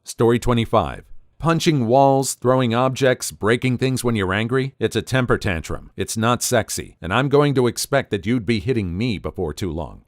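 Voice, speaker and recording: Man, narrator reading posts, wry, very expressive pitch, dry sound. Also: normal speed, no room sound. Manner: wry, animated delivery. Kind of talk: scripted narration of reader stories.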